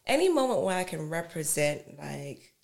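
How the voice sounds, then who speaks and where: A woman in her thirties talks in a relaxed interview, her East London accent blended with American tones. Her voice sits a little low, and she speaks in a loose, chatty way, full of fillers and quick laughs. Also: gravelly. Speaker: actress guest. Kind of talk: casual interview chat.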